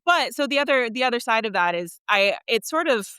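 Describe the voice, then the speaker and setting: A woman's voice: a little high, expressive, brisk, bright, forceful tone, clean tone, no echo, clean studio sound. A woman in her thirties talking candidly about her own life as a writer being interviewed. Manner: candid, chuckles as she talks. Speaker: writer, podcast guest. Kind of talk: conversational interview, personal storytelling.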